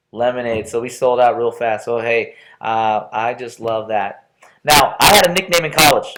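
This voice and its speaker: A man's voice: mid-range voice, noisy background. A middle-aged man from Texas with an American accent gives a playful, chatty, informal self-introduction as a college professor, often stopping and restarting. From Texas, United States. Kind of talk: informal self-introduction lecture for an online class.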